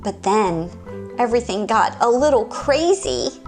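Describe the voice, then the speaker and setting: Animated, high-voiced woman reading to children. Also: soft background music. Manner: animated, deliberate storytelling. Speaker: children's story time host. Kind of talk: expressive read-aloud for young children.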